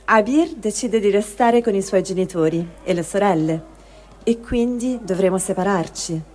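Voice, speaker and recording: A woman playing a resigned displaced person, reading a first-person diary in a measured, sober way. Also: mid-range voice, darkish tone, close to an everyday voice. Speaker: reader of testimony.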